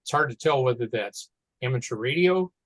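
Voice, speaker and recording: A man giving a halting, offhand, informal explanation to others on a group call. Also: medium pitch, animated, no echo. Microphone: teleconference call.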